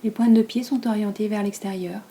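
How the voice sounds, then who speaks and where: Soft, mellow French woman guiding relaxation. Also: mid-range voice. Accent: French from France. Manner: soft, breathy, calm and soothing delivery; unhurried, with long pauses between phrases. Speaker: yoga nidra teacher. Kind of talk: guided relaxation meditation.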